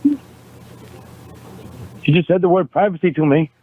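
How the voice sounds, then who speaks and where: A man in a recorded phone argument, talking in a halting, defensive way and repeating words as he restarts. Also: high-pitched, animated. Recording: tinny phone line recording.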